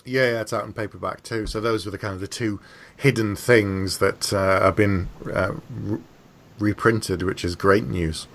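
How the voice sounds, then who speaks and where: Bloke with a fairly deep voice hosting a laid-back book chat podcast. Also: male voice, steady tone, normal speed, a bit bright. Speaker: podcast host, interviewer. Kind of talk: casual conversational book chat.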